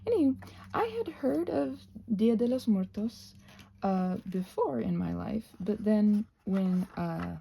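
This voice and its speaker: A woman's voice: mid-range voice, a little slow, vocal fry, mellow, breathy edge. A North American woman chatting casually while crafting, playful and scatterbrained, thinking aloud and often trailing off into murmurs. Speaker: craft and ASMR vlogger.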